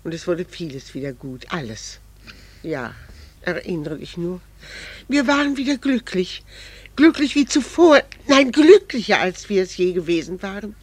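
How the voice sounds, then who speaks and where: A woman playing a wounded, pleading wife in a 1960s German radio play, with earnest, formal theatrical diction. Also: medium pitch.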